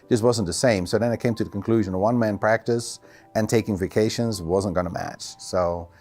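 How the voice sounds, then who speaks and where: A man's voice: on the low side, fairly quick, darkish tone, faint music behind. A middle-aged man from the Netherlands with a Dutch accent, a native Dutch speaker, casually answering interview questions as a physical therapist and clinic owner.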